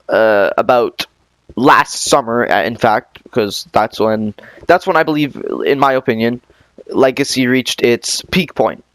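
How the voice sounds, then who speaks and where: A man hosts a casual podcast interview. He rambles and halts as he talks, circling back with restarts and fillers. His mid-pitched voice sounds close and dry, with no room around it. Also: clean tone, no room sound. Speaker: podcast host.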